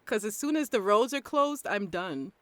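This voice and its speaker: A woman's voice: medium pitch, animated, moderate pace, fairly bright tone. A young woman hosting a casual interview, bubbly and giggly as she reads out listener questions. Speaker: interviewer, host.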